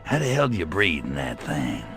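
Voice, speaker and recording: A wisecracking alien invader for a video game. The voice is bright and swings widely in pitch, full of impatient, sarcastic swagger. Music plays underneath. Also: male voice, wildly swooping pitch, moderate pace, full character voice. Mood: cocky. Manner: sarcastic, swaggering, slangy delivery. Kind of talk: video game cutscene voice acting.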